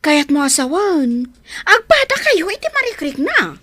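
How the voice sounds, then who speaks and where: A woman's voice: very high voice, big pitch swings, measured pace, sharp tone, no echo, heavily acted. An actress playing a nosy, coaxing woman confidante in a Filipino radio drama. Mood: probing.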